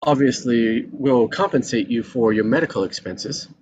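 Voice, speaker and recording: A man's voice: medium pitch, moderate pace, darkish tone, slightly rough, dry recording, lightly acted. A man reading the part of a hesitant yuppie husband in a screenplay table read, dry and noncommittal. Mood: businesslike, dry. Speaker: actor in a live table read. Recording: dull mic with faint noise.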